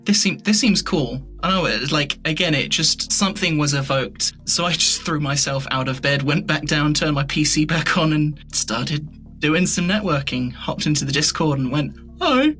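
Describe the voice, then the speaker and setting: A man in casual interview chat as a podcast guest, rambling and self-deprecating in an expressive way. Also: a little high, moderate pace, soft background music. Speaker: podcast guest, audio drama creator and voice performer.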